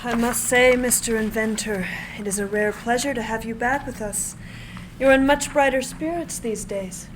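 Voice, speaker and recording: A woman's voice: a little high, normal speed, natural voice. A woman acting a genteel, teasing society wife in a live script reading. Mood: fond. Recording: noisy live room recording.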